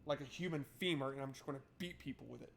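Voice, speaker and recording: A man's voice: medium pitch, rapid-fire, gravelly, a little hiss or hum. A man streaming a game, switching between reading narration aloud and casual, irreverent, sweary joking commentary.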